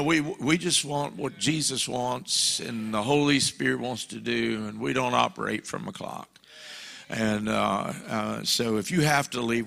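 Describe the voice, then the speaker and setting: A man's voice: medium pitch, creaky. A man, the church's pastor, making exuberant, chatty announcements before introducing a guest. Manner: exuberant, then relaxed and chatty. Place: church sanctuary.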